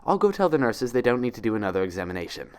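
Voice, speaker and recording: A man voicing an older female unicorn nurse in a story, brisk, matter-of-fact and cheerful. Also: medium pitch, big pitch swings, clear, resonant tone, natural voice.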